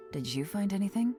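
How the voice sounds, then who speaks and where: A woman playing a composed, shrewd investigator of authority in a video game, with a cool, measured, knowing manner. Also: medium pitch.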